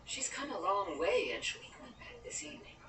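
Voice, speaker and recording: A woman playing a kindly, practical middle-aged small-town aunt, gentle and mildly reproving, in a radio drama. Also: medium pitch, very animated, a little fast, roomy sound, whispered, distant mic, close to an everyday voice. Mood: tactful, reproving.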